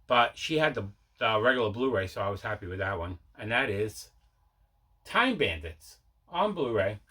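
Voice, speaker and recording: Bright-voiced man, casual video host. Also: lowish voice, very animated, moderate pace, husky. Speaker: video host showing a movie collection. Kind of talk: casual mail-day haul chat.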